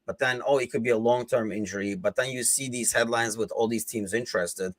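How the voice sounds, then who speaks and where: A man's voice: medium pitch, fairly quick, dry recording. A man with a Turkish accent, speaking English as a second language, hosting a casual football chat in a rambling, conversational way full of fillers. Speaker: podcast host.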